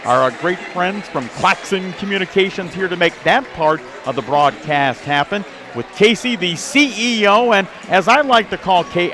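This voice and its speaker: A man's voice: on the high side, pushed and bright. A man hosting a live local sports radio broadcast from a gymnasium, with an announcer-like delivery. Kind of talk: live pregame sports broadcast with sponsor reads. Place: indoor high school basketball gymnasium. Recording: busy gym crowd behind the voice. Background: crowd and bouncing basketballs.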